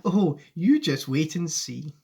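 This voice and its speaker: A man voicing an excitable, good-hearted young boy in a storybook, with eager exclamations. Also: fairly high pitch, slow pace, vocal fry, husky, full character voice. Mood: gleeful.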